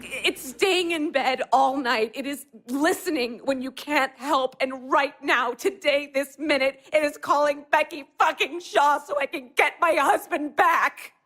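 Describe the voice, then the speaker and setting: An actress playing a distressed, sharp-tongued young wife in an audio drama, pleading emphatically. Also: female voice, high-pitched, measured pace, hardly any pauses. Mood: pleading, exasperated. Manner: emphatic, profane, insistent.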